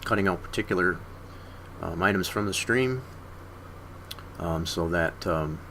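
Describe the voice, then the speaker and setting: A deep, gravelly-voiced man narrates his modeling work in a slow, thinking-aloud way, with plenty of ums and uhs. His voice is hoarse and he keeps clearing his throat. A faint hiss sits under the recording. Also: pushed and bright. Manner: unhurried, filler-heavy thinking aloud. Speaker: streamer. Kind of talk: casual screen-share tutorial.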